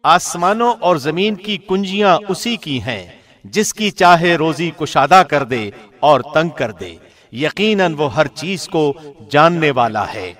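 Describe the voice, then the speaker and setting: Solemn, slightly high-voiced man reading scripture. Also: expressive, a bit bright. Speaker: Quran translation narrator.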